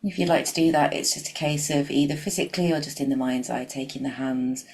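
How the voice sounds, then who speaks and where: A woman leading a guided meditation in a soft, gentle, unhurried way, leaving long pauses and at times dropping toward a breathy whisper. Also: sits low.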